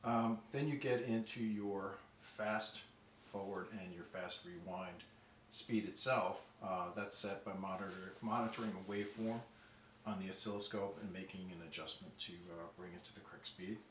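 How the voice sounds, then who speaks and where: A man giving an unscripted technical walkthrough, explaining things in a halting, methodical way. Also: low-pitched, fairly bright tone. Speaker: audio deck restorer demonstrating work. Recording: roomy, distant mic, faint noise.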